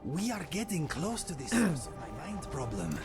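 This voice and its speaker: A man's voice: fairly high pitch, big pitch swings, normal speed, music in the background. An actor playing a male cat-person companion, earnest and following scents. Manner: earnest, distracted, sniffing out trails.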